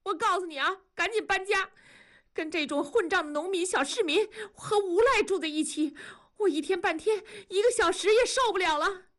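A woman voicing a proud, educated young wife who scolds her husband in a fast, shrill voice. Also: husky. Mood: furious.

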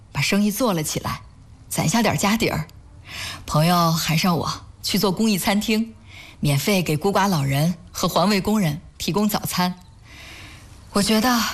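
An actress playing a caring, soft-hearted wife devoted to charity in a sentimental audio drama, speaking earnestly in a slightly husky voice. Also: female voice, fairly low pitch, natural voice.

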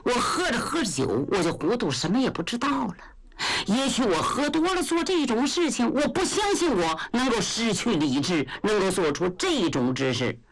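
A woman's voice: very animated, poor audio. A woman voicing a bewildered younger nobleman pleading his innocence in a traditional storytelling performance. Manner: slow, pleading, low.

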